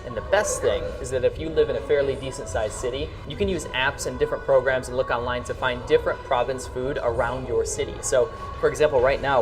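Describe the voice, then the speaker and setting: American male vlog host, very quick delivery, enthusiastic and emphatic, light music behind. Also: medium pitch, far from the mic. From rural New York, United States. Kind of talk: casual vlog talk to camera.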